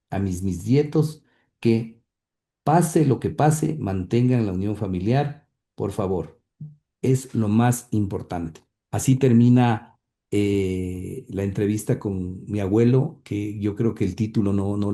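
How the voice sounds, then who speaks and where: A man with a lowish, very dark and mellow voice, talking in an interview. He speaks earnestly and haltingly, full of fillers and restarts, and at times reads quotes aloud. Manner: halting, many fillers and repeated words; earnest, heartfelt. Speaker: interview guest, grandson and author.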